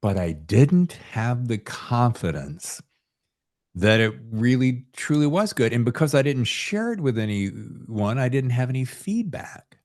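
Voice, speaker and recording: An American man hosting a podcast, telling anecdotes in a casual, animated storytelling manner. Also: medium pitch, unhurried. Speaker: podcast host; former radio morning show host.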